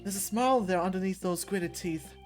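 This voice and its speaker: A woman reading a visual novel aloud as expressive first-person story narration. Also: medium pitch, brisk, soft background music.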